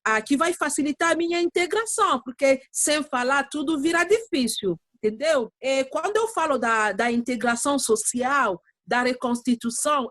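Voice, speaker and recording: A woman's voice: high voice, fairly bright tone, no echo. Hesitant woman with a dry, close sound. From Democratic Republic of the Congo. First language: not Portuguese. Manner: hesitant, searching for words with fillers. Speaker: guest. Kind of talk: interview answer.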